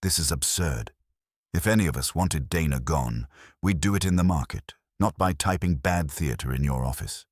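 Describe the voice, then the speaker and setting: A man's voice: very deep voice, expressive, moderate pace, no room sound, studio quality. A man voicing a restless, indignant male suspect protesting scornfully in a detective audiobook.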